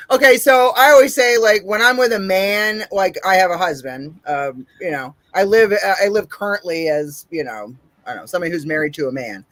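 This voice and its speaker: A woman with a deep voice hosting a casual interview, blunt and profane, laughing often. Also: expressive, normal speed, fairly bright tone, dry recording. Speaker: podcast host.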